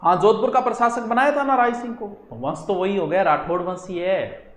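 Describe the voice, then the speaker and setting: A man's voice: high-pitched, fairly quick, a bit bright. A man with a Rajasthani accent giving an informal exam-coaching lecture, addressing students directly and slipping into local dialect. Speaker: history teacher for exam coaching. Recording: roomy, echoing sound.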